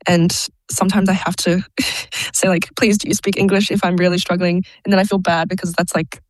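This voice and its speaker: A woman's voice: lowish voice, flat delivery, a little fast, dry recording, low-quality recording. A young Australian woman, an international student, chatting in an interview, laughing often and filling her talk with "like" and "um". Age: young adult. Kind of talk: casual interview.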